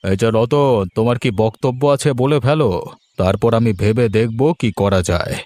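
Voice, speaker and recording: A man voicing a stern but fair village headman in a cartoon moral story, with measured, moralising speech. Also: mid-range voice, darkish tone, voice pushed down, close to an everyday voice. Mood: calm authority.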